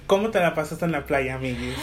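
A man's voice: a little high, animated, fast talker. Playful bilingual man, noisy amateur recording. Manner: playful, foul-mouthed teasing. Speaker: host of an amateur show. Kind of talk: casual chat interview, switching English and Spanish.

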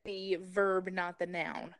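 A woman's voice: on the low side, little pitch movement, slow, dry recording. A woman co-hosting a podcast, chatting casually with dry, teasing remarks. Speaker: podcast co-host. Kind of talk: casual chat.

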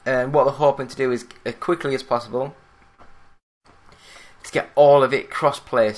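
Podcast host from Yorkshire, English male, average pitch, plain monotone read. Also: moderate pace. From Yorkshire, England. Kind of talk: solo gaming news podcast.

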